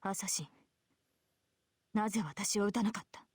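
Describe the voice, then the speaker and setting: An earnest knight spirit girl, super fast and urgent, bit husky, visual novel style. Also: medium pitch, darkish tone, dry recording, studio quality. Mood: urgent, stern. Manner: urgent, formal, stern. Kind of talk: Japanese visual novel voice acting.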